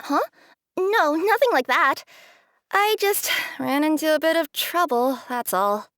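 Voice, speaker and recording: A woman's voice: high voice, expressive, unhurried, fairly bright tone, no room sound, studio quality. A woman voicing an earnest young cat spirit courier in a video game, apologetic, hesitant and stammering. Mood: sheepish. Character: young female cat yokai courier, earnest, flustered, eager. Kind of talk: video game character acting.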